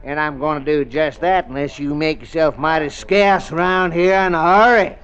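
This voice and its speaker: An actor playing a bossy small-town police sergeant with a drawl, in a 1950s radio drama. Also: male voice, fairly high pitch, fairly even intonation, normal speed, a bit bright, clean tone, lightly acted. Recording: muffled old radio recording, crowd behind.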